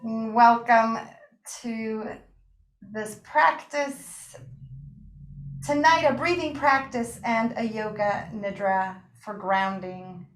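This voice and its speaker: Slow, higher-voiced woman guiding meditation. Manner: gentle, unhurried, invitational phrasing with long pauses. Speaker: yoga nidra and breathing teacher. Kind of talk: guided meditation and relaxation instruction. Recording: roomy, echoing room sound.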